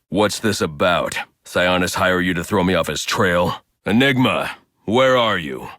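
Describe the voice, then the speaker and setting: A brooding male vigilante detective for a video game, with an extremely low, heavily gravelled voice. He is grim, stern and intimidating, speaking in terse, threatening phrases. The recording is very dry and close. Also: a bit bright, no echo, heavily acted. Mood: stern, accusing, then menacing, furious. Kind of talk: dramatic video game voice lines.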